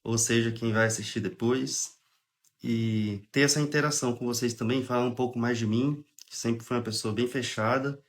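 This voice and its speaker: A young man with a Brazilian accent and a cold, clearing his throat now and then, hosting a relaxed spiritist study livestream, reading aloud and explaining in a chatty way. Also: mid-range voice. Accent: Brazilian Portuguese. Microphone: phone or webcam on a livestream.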